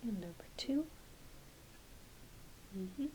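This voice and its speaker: A woman playing a gentle, reassuring doctor in an ASMR exam roleplay, speaking softly and hesitantly, often half-whispering. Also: mid-range voice, very slow, poor audio. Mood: calm, reassuring. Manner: soft, half-whispered, hesitant, apologetic bedside talk. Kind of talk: soft-spoken ASMR medical exam roleplay. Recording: faint steady hiss behind close voice.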